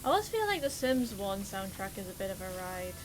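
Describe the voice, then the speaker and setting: A woman's voice: medium pitch, sing-song, fairly quick, background noise. A woman with a Geordie accent chatting casually while streaming a game, exclamatory and swearing freely. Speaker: livestreamer. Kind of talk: casual gameplay commentary.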